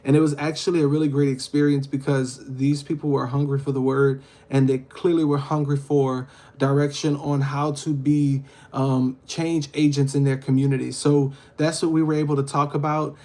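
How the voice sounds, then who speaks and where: A man's voice: a little high, little pitch movement, normal speed, a little mellow. A man from hosting a casual livestream, reading viewer comments aloud and answering them with frequent ums and uhs. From Louisiana. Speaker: livestream host.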